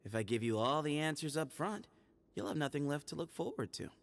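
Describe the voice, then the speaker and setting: A man's voice: on the high side, big pitch swings, brisk, vocal fry. An actor playing a sly, teasing and evasive young man from the desert in a game cutscene. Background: music score.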